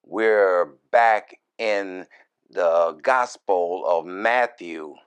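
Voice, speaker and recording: A man who is a minister reads scripture verse by verse and explains it in deliberate, broken short phrases with folksy, informal asides. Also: low voice, clean tone. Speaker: minister, Bible teacher.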